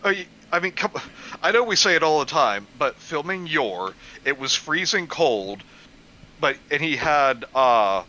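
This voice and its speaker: A man's voice: a little high, sing-song, a little slow, sharp tone. A man in casual, joking conversation as co-host of a comedy show. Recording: muffled, faintly noisy home recording.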